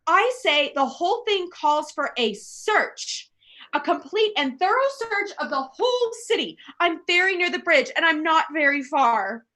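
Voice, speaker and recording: An actress playing an anxious, demanding invalid wife in a radio play, fretful, indignant and complaining. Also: female voice, extremely high pitch, big pitch swings, a little slow, sharp tone, heavily acted. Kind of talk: stage radio play acting.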